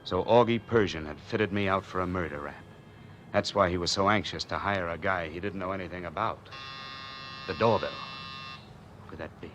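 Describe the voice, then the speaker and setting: A man acting a wary, hardboiled man on the run in an old radio noir drama, narrating and talking in terse, deadpan slang. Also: sits low, normal speed, a little hiss or hum. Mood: grim, tense. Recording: old time radio recording with music behind.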